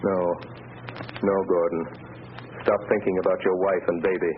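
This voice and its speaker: An actor playing a mysterious, commanding invisible crime fighter who reads minds, speaking with hypnotic urgency in a 1930s radio drama. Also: male voice, low voice, flat delivery, moderate pace, close to an everyday voice. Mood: intent, urgent. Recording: noisy, muffled 1930s radio recording.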